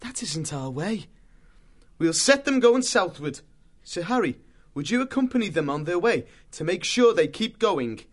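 A man's voice: sits high, very animated, moderate pace, voice pushed up. A man voicing an earnest male warrior mouse leader in a storybook, firm and commanding. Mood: resolute.

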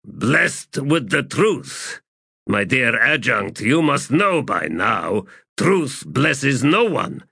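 A man reading aloud voices a tormented, bitter male priest who talks in a slow, sardonic drawl. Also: medium pitch, very animated, creaky, bright, forceful tone, breathy edge, no room sound, clean studio sound, pitched above the performer's own voice, exaggerated voice.

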